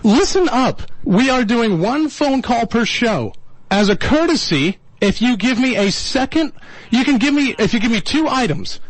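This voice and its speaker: A man's voice: high voice, no echo, rough recording. Exasperated folksy man hosting call-in radio. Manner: exasperated, folksy, repeats himself. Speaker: radio host of a call-in swap show.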